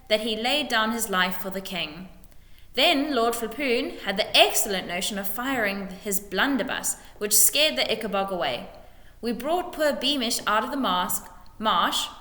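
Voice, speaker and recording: A woman's voice: a little high, bright, forceful tone. A woman voicing a scheming, bullying male lord in a storybook reading, with snarled orders and oily false sympathy. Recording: echoey room with long reverb.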